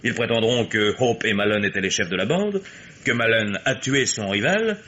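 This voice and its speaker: An actor playing a confident, sly private detective in a French radio mystery, with an assured, wry manner. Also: male voice, fairly low pitch, animated, normal speed, bright, forceful tone, breathy edge, highs cut off, exaggerated voice. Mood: wry, insistent. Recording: noisy old radio drama recording.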